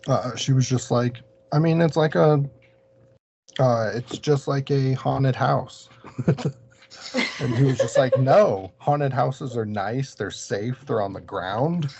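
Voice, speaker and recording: A man's voice: mid-range voice, takes it slowly, vocal fry, warm tone. A man telling a casual anecdote in conversation. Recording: dull, noisy lo-fi sound.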